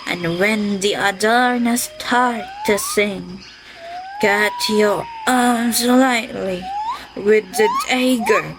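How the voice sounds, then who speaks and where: A woman playing a weak, kindly old witch in a radio play, speaking haltingly. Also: medium pitch. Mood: kindly, instructing. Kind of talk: student radio play acting. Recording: noisy, with outdoor ambience behind.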